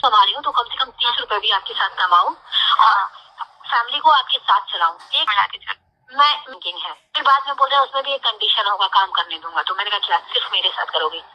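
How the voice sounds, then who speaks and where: A woman's voice: mid-range voice, a little fast, slightly rough. A woman on a recorded phone call, talking privately and informally in an insistent, aggrieved way. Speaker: caller on a recorded phone conversation.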